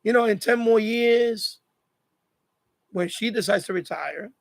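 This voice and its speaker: A man's voice: sits high, unhurried, creaky, no room sound. A man chatting casually on a live stream, repeating phrases as he gives advice. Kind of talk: casual live chat monologue.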